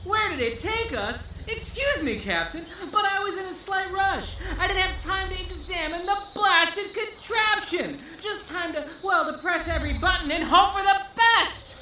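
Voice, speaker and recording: A woman's voice: extremely high pitch, expressive, moderate pace, hardly any pauses, pushed and bright, echoey room, recorded from a distance, a little hiss or hum, exaggerated voice, rough recording. A woman playing a fussy, anxious service droid in an audio drama, speaking in a prim, formal, exclamatory way. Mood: flustered.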